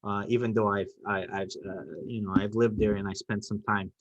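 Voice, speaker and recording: A man's voice: on the low side, level tone, a little fast, no room sound, muffled recording. Reflective man, native Portuguese speaker. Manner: reflective, with frequent "you know" fillers. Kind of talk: casual conversation.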